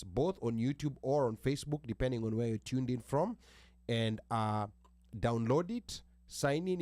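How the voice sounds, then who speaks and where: A man with an American accent hosting a panel talk show, speaking haltingly with restarts and reading from notes. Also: mid-range voice, darkish tone, no echo. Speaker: host.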